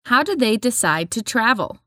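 A woman announcing the numbered questions of a listening test, reading slowly and deliberately. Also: on the high side, sing-song, sharp tone, no echo, clean studio sound. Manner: slow, deliberate reading of instructions. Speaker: test narrator.

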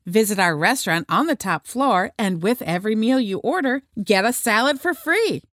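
A woman reads scripted dialogue for a listening exercise, her voice sitting a little high with a lively, swinging melody. She speaks clearly and evenly. The recording is dry and close, with no room sound. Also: normal speed, dry recording. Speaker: listening test voice actor.

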